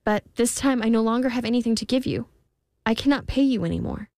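Young storybook heroine, voiced by a woman, earnest, emotional, pleading yet defiant, lifted pitch. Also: quick pace, darkish tone, dry recording, clean studio sound, close to an everyday voice. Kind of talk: storybook character voice.

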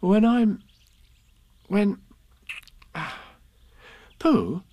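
A man puts on a little boy from a children's storybook, pitched high and swinging up and down. He is kindly and imaginative, speaking with faltering earnestness. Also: very animated, extremely slow, mellow, heavily acted. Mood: wistful, earnest.

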